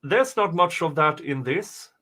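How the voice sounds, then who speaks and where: A man with a bright, forceful voice of average pitch takes the part of co-host. He reads written passages aloud and talks about them in a casual way. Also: lively intonation, unhurried. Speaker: podcast co-host reading a document aloud. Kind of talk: reading aloud with casual chat.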